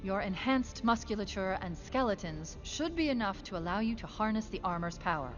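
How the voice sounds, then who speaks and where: A woman playing a composed, clinical military scientist with a measured, precise, authoritative delivery in an animated sci-fi film. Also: medium pitch, highs cut off, music in the background, natural voice. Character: composed, clinical female military scientist briefing her soldiers.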